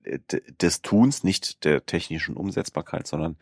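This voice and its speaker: A man's voice: deep voice, animated, moderate pace, vocal fry, no echo, clean studio sound. A man hosting a podcast in casual chat, rambling with many fillers and restarts. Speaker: podcast host.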